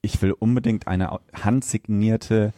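A man bantering casually as a podcast co-host, informal and quick to jump in with questions. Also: on the low side, steady tone, dark tone, no room sound, clean studio sound.

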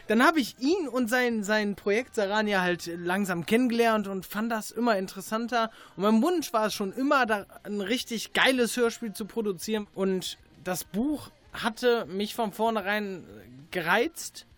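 A man's voice: high voice, normal speed, hardly any pauses, fairly bright tone. A man talking casually as an audio drama producer and interview guest.

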